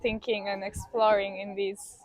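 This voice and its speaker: A woman's voice: mid-range voice, little pitch movement, a little slow, no echo, dull audio. Reflective woman. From Poland. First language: Polish. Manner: hesitant, thinking aloud. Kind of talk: casual reflective conversation.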